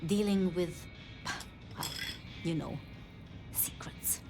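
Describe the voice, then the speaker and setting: An actress playing a modest, unsure vampire apprentice in a video game, speaking haltingly and self-deprecatingly. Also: female voice, fairly low pitch, whispered. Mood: pensive. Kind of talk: video game dialogue acting. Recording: music behind the voice.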